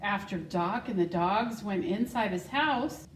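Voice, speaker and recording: Slow-reading woman in a roomy, distant recording. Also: medium pitch, animated. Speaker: school secretary, guest storybook reader. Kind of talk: reading a children's picture book aloud. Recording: roomy, distant mic, faint noise.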